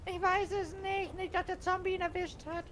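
A woman's voice: very high-pitched, flat delivery, normal speed, a bit bright, a little hiss or hum. A woman improvising role-play in an online game, chatting with other players.

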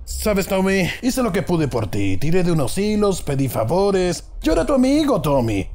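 A man's voice: high voice, huge pitch swings, moderate pace, darkish tone, heavily acted. A man playing a smug, menacing middle-aged mob boss in a video game dub, with a mocking, theatrical delivery. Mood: scornful.

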